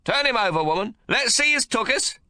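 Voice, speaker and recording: Guy doing a loud coarse old grandpa, bossy and crude, pitch jumping all over. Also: expressive, brisk, dry recording, clean studio sound, heavily acted. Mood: bullying. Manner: coarse, bellowing, bullying.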